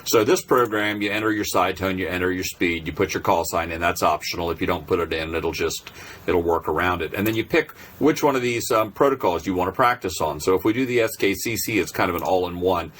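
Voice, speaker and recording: A man's voice: low voice, brisk, fairly bright tone, a little hiss or hum. A man narrating an informal, unscripted tutorial, explaining step by step in a hesitant way. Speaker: presenter of a software tutorial.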